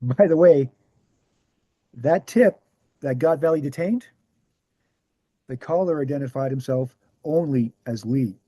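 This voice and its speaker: A man reading the scripted part of a measured author who answers questions at a talk, deliberate and explanatory. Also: mid-range voice, mellow, dry recording, natural voice. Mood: grave, explanatory. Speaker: actor in a screenplay table read. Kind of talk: scripted dialogue read aloud.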